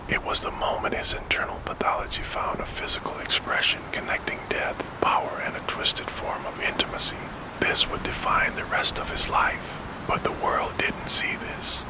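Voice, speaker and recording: A man narrating a scripted story in a hushed, gentle ASMR whisper, as the host of a sleep storytelling show. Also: moderate pace, low-quality recording. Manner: hushed, gentle, soothing delivery. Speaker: host and narrator of a true crime sleep channel.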